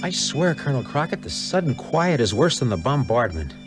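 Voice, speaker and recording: A man's voice: medium pitch, big pitch swings, fairly quick, music in the background, lightly acted. A man acting a fretful, wordy, grumbling fort companion in a 1950s audio play. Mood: anxious.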